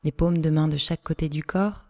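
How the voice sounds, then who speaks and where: A woman yoga instructor leading a guided relaxation meditation, giving measured instructions in short phrases with pauses between them. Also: sits low, fairly even intonation, darkish tone.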